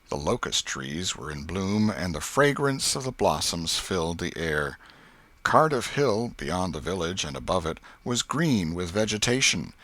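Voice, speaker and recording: An American man reading literary narration as an audiobook narrator, in a measured storytelling manner. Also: sits low, fairly bright tone, no echo.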